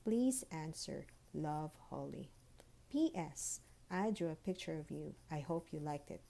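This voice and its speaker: Curious little girl for a storybook, played by a woman: polite, eager, questioning, mellow and gentle in tone. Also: sits low, lively intonation, a little slow, creaky, exaggerated voice. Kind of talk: children's storybook read-aloud.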